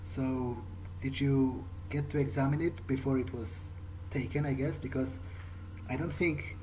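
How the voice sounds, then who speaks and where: A man roleplaying in character as he plays a video game, thinking aloud in halting, musing phrases. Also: medium pitch, steady tone, a little mellow, a little hiss or hum, low-quality recording. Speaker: gameplay streamer playing in character. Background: game music.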